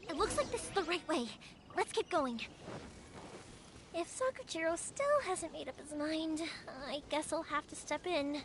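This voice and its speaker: A young woman voicing a spirited, caring fireworks maker in a video game, expressive and earnest. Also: sits high, measured pace, noisy background. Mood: determined.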